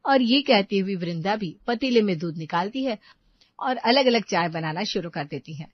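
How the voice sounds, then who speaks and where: A woman's voice: medium pitch, expressive, moderate pace, no echo, muffled recording. A woman narrating a scripted story as a storyteller.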